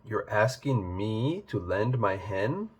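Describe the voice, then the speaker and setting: A man's voice: fairly low pitch, sing-song, slow pace, husky, heavily acted. A man voicing a big, grumpy, aggrieved and threatening male ogre in a storybook tale. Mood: indignant.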